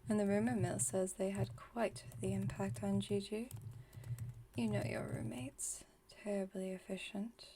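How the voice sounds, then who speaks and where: A woman playing an aloof, wry vampire friend with a secretly caring side, in a soft, breathy ASMR roleplay, speaking in a dry, teasing, unhurried way. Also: mid-range voice, fairly even intonation, a little hiss or hum, lightly acted. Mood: soft, reflective. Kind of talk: ASMR roleplay, intimate monologue.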